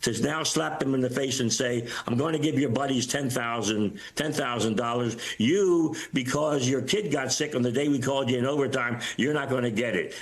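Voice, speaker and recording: An older man speaks as an interview guest in a medium-pitched voice. His delivery is plain-spoken and halting as he explains his view. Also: rough recording. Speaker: former air traffic controller, news guest. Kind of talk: interview commentary.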